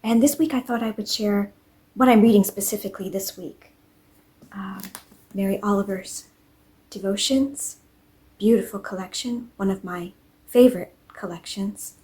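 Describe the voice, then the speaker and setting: Soft-spoken woman narrating a home vlog. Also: medium pitch, moderate pace, long pauses. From English-speaking country. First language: English. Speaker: vlogger. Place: home in Norway.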